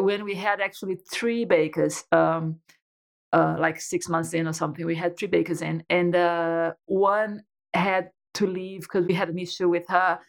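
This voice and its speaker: Woman telling her story. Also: lowish voice. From Brazil. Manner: animated storyteller with many restarts and fillers. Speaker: guest entrepreneur. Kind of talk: casual interview chat.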